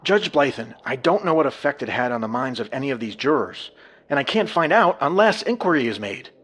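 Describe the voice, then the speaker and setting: An actor playing a concerned, insistent defense attorney making a formal plea in a courtroom drama. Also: male voice, mid-range voice, very animated, a little fast, a bit bright. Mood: worried, insistent.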